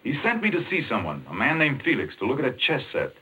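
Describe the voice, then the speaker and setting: An actor playing an earnest, indignant doctor in a 1940s radio mystery, with urgent, clipped, demanding delivery. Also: male voice, medium pitch, wildly swooping pitch, fast talker, bright, forceful tone, breathy edge, full character voice, low-quality recording. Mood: accusing. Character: earnest, indignant male doctor caught up in murder.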